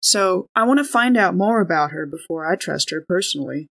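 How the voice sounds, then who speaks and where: A woman's voice: lowish voice, expressive, a little fast, creaky, low-quality recording. Casual woman talking through game strategy. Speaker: tabletop game player. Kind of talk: casual in-character tabletop discussion.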